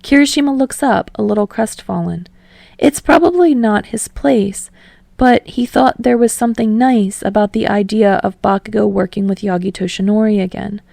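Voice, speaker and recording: Mellow, creaky female story narrator. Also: mid-range voice, moderate pace, no room sound, studio quality. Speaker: audiobook narrator. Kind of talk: scripted fiction narration.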